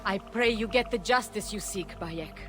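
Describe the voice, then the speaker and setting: A woman's voice: mid-range voice, normal speed, music in the background, close to an everyday voice. Game role: actress as compassionate female healer, mid-range voice, earnest and solemn, faint score underneath. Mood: solemn, caring. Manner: grave, earnest. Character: compassionate, earnest woman healer, troubled by war. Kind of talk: dramatic video game acting.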